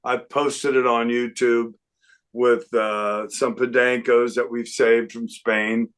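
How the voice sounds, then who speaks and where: An older man, a guest singer, chatting casually in an unhurried, rambling way with lots of fillers. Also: mid-range voice, level tone, clean tone. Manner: breaks into sung snippets. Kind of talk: casual interview chat.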